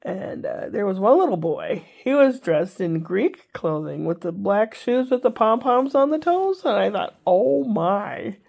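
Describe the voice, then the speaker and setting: Congested older woman, gravelly voice. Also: medium pitch, animated, measured pace, few pauses, a little mellow. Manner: rambling, chatty, wry asides. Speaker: vlogger. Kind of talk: casual chat to camera.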